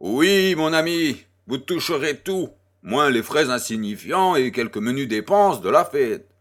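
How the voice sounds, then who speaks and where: An actor playing an urbane, grandiose baron, florid, courteous and self-assured, in a French audio drama. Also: male voice, mid-range voice, expressive, measured pace, fairly bright tone. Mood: reassuring.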